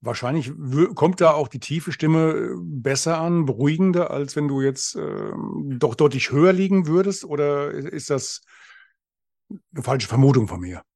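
A man's voice: a little high, lively intonation, unhurried, vocal fry, a little mellow, no echo, studio quality. A man interviewing a guest, asking curious questions with some hesitation.

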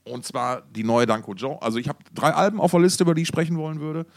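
A man's voice: a little high, lively intonation, fast. A middle-aged man co-hosting a music podcast in casual, unscripted chat, enthusiastic and colloquial, often repeating his words.

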